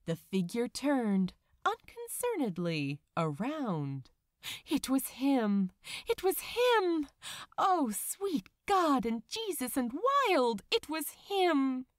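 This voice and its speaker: A woman reading fiction aloud as an audiobook narrator, with dramatic, deliberate phrasing. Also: sits high, huge pitch swings, no room sound, studio quality. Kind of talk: expressive scripted story narration.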